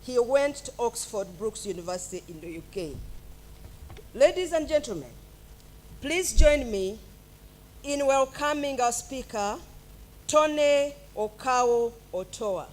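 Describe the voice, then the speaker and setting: A woman at a graduation ceremony reading a formal introduction of the guest speaker in a measured way. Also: fairly high pitch, expressive, a little hiss or hum, low-quality recording. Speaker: master of ceremonies. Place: ceremony hall.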